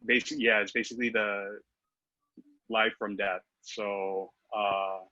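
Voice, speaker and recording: A man in his thirties talks as a podcast guest, full of fillers and restarts. His voice is bright and fairly low, with a flat, even delivery. The audio is dry and slightly muffled. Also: takes it slowly, no echo. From Philippines, raised in Los Angeles. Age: 30s. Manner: hesitant, many fillers and restarts. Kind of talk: casual interview chat.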